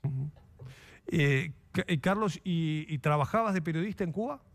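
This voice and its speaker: A male interview host with a Rioplatense accent and a fairly high voice. He addresses his guest directly, in a conversational broadcast interview style. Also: expressive, normal speed. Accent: Rioplatense Spanish.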